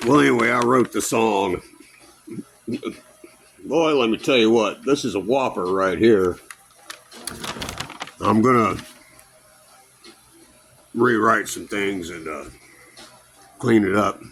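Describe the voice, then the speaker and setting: Gravelly, bright-voiced man telling stories slowly. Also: on the low side, a little hiss or hum. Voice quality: loud, gravelly voice. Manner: acts out both sides of remembered conversations. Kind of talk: rambling personal storytelling to camera.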